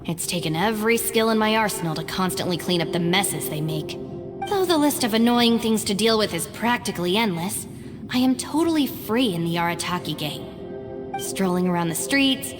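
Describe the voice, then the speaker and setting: Level-headed young female fixer woman acting, anime game: mid-pitched, frank, a little weary, reverberant with music. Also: lightly acted. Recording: long reverb, music behind.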